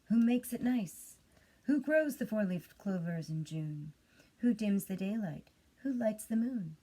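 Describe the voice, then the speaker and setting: Lady doing a gentle dreamy boy mouse poet from a storybook, bit husky, recites slow and measured. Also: medium pitch, animated, poor audio. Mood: theatrical, reciting.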